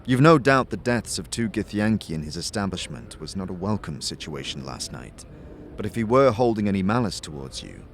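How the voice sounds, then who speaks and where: Deep-voiced, animated male storyteller. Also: brisk, gravelly, a little hiss or hum. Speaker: narrator and game master. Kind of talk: dramatic second-person scripted narration.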